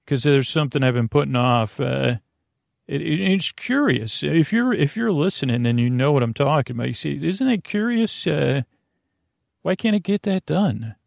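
A gravelly, fry-heavy male voice in a very dry recording. He hosts a sleep podcast as a soothing, rambling monologue. He stumbles over word starts, circles back and questions himself as he drifts between tangents. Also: on the high side, big pitch swings, moderate pace, no echo. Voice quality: creaky. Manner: meandering, stammering, tangent-prone, self-questioning; soothing, lulling, drowsy delivery. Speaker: podcast host.

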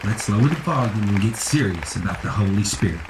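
A man's voice: fairly low pitch, expressive, noisy background, natural voice. An actor playing a greedy, folksy showman preacher delivering an unctuous sermon in an audio drama. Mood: unctuous, pious. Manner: unctuous, folksy, deliberate emphatic pauses. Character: greedy showman preacher working his congregation for donations. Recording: echoey, distant church hall with crowd.

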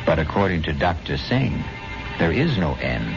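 A man hosting a 1970s mystery radio drama, narrating in a measured, theatrical, ominous way. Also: deep voice, sing-song. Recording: muffled old radio, loud music behind.

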